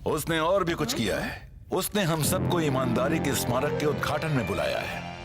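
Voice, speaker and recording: A man's voice: a little high, sing-song, moderate pace. A man voicing an earnest, authoritative football coach in a cartoon dub. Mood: serious, resolute. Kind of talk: dubbed cartoon acting. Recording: loud music behind the voice.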